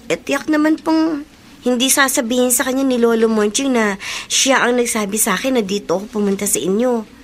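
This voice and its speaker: Actress as polite, high-voiced teenage girl. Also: a little fast, slight background noise, natural voice. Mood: earnest. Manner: deferential, eager to please. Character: polite, grateful mistreated orphaned teenage girl. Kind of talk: Filipino radio drama acting.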